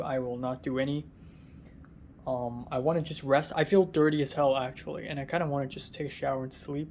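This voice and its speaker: Young male vlogger, worn-out and raspy, voice a touch high, chatty and halting, faint noise behind. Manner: rambling, halting with many ums, drifting softer and louder. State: tired, raspy voice. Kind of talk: casual rambling vlog monologue. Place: quarantine hotel room.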